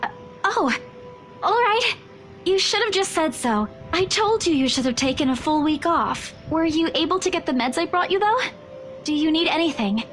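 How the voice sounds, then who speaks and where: A woman's voice: very high-pitched, animated, moderate pace, a bit bright, soft background music. A woman playing an earnest, anxious young friend who fusses caringly, in a visual novel. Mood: concerned, fussing.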